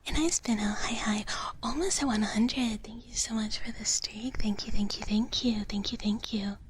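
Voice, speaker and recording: A woman whispering softly and breathily in a gentle, cutesy ASMR live stream, chatting casually to viewers and softly repeating her phrases. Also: a little high, moderate pace. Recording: clear steady background noise.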